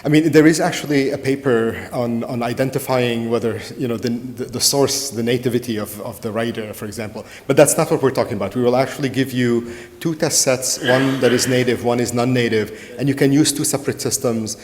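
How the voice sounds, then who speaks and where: A man's voice: mid-range voice, fairly even intonation, brisk, few pauses, in a large hall. A man leading a conference Q&A discussion as organizer, hesitant with many fillers and restarts. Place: conference room.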